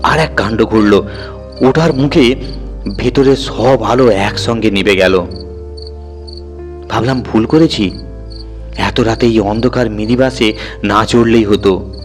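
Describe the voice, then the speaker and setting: A man's voice: lowish voice, slow pace, pauses often. Male horror storyteller over music. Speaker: audio story narrator. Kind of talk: dramatic first-person horror story narration. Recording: music bed under the voice.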